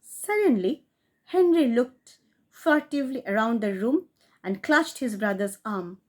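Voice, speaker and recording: Narrator: woman, slightly high voice, measured expressive storytelling. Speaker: audiobook reader.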